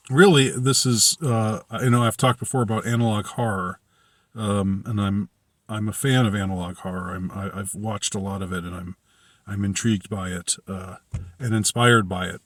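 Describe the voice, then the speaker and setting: Halting man with a lowish voice, closely recorded. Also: dry recording. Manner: halting, hesitant, trailing off and circling back. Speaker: film reviewer. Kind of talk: casual unscripted movie review monologue.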